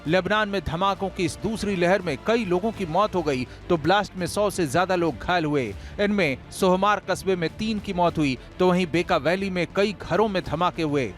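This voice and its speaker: A man's voice: sits high, moderate pace, hardly any pauses, soft background music. A man reading a scripted news report voice-over in an emphatic, dramatic way.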